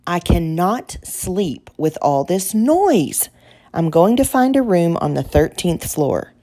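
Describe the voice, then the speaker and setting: A woman's voice: fairly low pitch, very animated, slow pace, dark tone, heavily acted. A woman voicing a grumpy, sleepy male hotel guest who grumbles indignantly in a children's story. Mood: weary, irritated. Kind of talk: children's picture book character voice.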